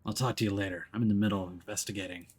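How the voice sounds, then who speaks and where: A man voicing a stubborn, hard-bitten male detective in a visual novel, with a gruff, terse delivery. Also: fairly low pitch, fairly even intonation, rapid-fire, natural voice. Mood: earnest, subdued. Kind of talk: visual novel character reading.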